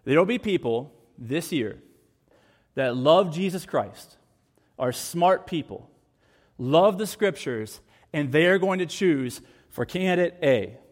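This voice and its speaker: Earnest pastor with a higher voice. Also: male voice, animated, slow pace. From United States. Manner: earnest, with emphatic repetition. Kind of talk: sermon.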